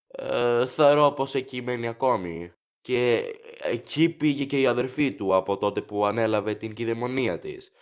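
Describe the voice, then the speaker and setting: A man's voice: medium pitch, lightly acted. A man playing a courteous, chatty factory director in an audio drama, formal, rambling and eager to please.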